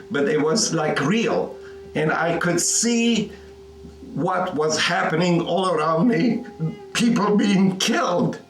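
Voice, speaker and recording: An older man from Egypt, an artist, speaking in a reflective documentary interview, halting and repeating words as he thinks aloud. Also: on the high side, a bit bright. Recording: roomy, mic at a distance.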